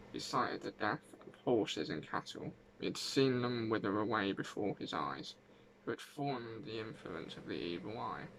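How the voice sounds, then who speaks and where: Man voicing a creaky old village herbwoman's ghost. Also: lowish voice, noisy background, heavily acted. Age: 80s. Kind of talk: first-person folk tale narration.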